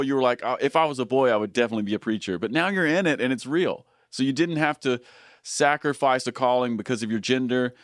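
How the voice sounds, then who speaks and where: Earnest man in his forties, smooth voice. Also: medium pitch, no echo, studio quality. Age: 40s. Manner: earnest and encouraging, long wordy questions. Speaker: podcast host. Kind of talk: interview.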